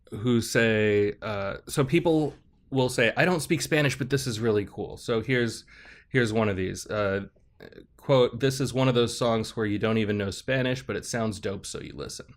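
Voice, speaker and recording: A man, a professor on a podcast as a guest, explaining ideas in a measured, thoughtful way and checking in with "right?" as he goes. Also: medium pitch. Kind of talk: explanatory interview talk.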